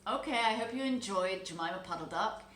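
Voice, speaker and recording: A woman reading a children's story aloud as an expressive narrator. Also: mid-range voice, a little fast. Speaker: storybook reader. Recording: roomy echo, background noise.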